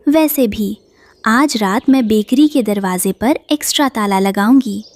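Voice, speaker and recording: Cheerful mother bird baker, woman voicing, warm motherly manner, highish voice. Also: a little slow, natural voice.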